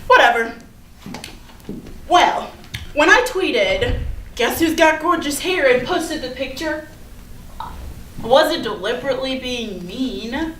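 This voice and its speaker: A woman's voice: high-pitched, expressive, takes it slowly, long pauses, fairly bright tone, full character voice. A woman playing a popular, defensive teenage schoolgirl in a staged play reading, with chatty, casual, hedging delivery. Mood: defensive, flustered. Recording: echoey room from far off, noisy.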